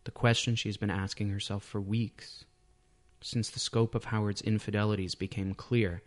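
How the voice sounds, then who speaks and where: A man narrates literary fiction from a script. His voice sits fairly low, and he reads as the author of the piece. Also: flat delivery, normal speed. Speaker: author reading own short story.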